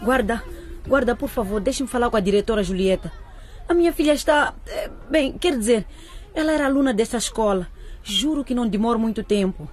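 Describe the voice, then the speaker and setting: A woman's voice: on the high side, animated, brisk, a little mellow. A woman playing a humble, anxious mother in a radio drama, pleading hesitantly and with deference for her daughter. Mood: urgent pleading. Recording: clear ambient vehicle noise behind.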